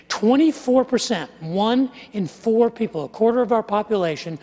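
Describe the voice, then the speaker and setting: An older man preaching a sermon, walking through statistics with animated gestures and wry asides, on a headset mic on a large church stage. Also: high-pitched. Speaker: pastor. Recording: live sermon with long hall reverb.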